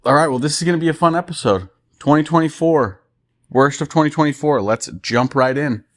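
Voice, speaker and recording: A man co-hosting a casual podcast, leading the talk in a relaxed, upbeat way. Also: a little high, a little fast. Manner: relaxed, upbeat, leads the episode. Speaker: podcast co-host. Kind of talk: casual chat.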